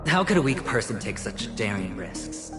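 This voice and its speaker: An actor playing a guarded, defiant gambler in a video game, cool, deliberate and dismissive. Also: male voice, on the low side, very animated. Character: guarded, defiant male gambler who courts danger. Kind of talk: dramatic video game voice acting. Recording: loud music behind.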